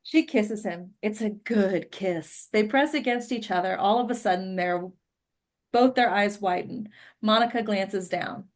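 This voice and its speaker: A woman narrates stage directions at a script table read. Her voice is medium in pitch, and she reads the scene descriptions between the actors' lines. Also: measured pace.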